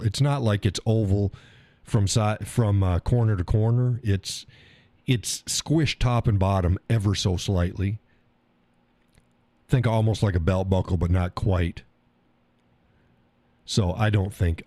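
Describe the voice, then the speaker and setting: A man's voice: low-pitched, warm tone, no echo. A man with a stuffy nose, hosting a casual live stream, rambling in a folksy way and reading chat messages aloud. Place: home workshop room.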